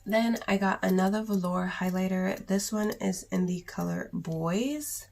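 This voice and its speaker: A young woman with a sore throat and cough, chatting casually in a raspy voice through a makeup haul vlog. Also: medium pitch, unhurried, darkish tone. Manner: chatty, rambling, with many ums and likes. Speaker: beauty vlogger.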